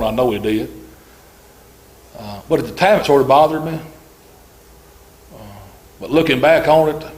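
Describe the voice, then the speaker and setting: Folksy preacher with a loud voice. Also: male voice, medium pitch, rough recording. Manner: folksy and conversational, many fillers and restarts. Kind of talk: informal sermon to a congregation.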